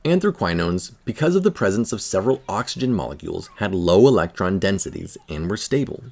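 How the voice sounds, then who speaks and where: A man reading a scientific article aloud in a careful, measured manner. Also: sits low, big pitch swings, gravelly, a little mellow. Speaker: article reader.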